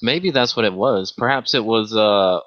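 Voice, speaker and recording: A man's voice: lowish voice, moderate pace. A man bantering casually, laid-back and agreeable, swearing freely. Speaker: co-host of a casual stream. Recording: dull, lo-fi voice chat.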